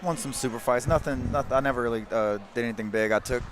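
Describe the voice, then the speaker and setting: A man in his late thirties talking in a relaxed, modest way as a guest commentator beside the mat. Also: medium pitch, fast, background noise. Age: 30s. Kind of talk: casual mat-side commentary. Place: grappling tournament mat-side.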